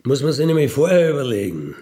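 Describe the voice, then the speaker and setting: A man with a gravelly voice, recounting in a blunt, matter-of-fact, colloquial way with emphatic tag questions. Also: mid-range voice, very animated, quick pace, mellow. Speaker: ex-convict telling his experiences. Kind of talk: colloquial spoken monologue, recounting.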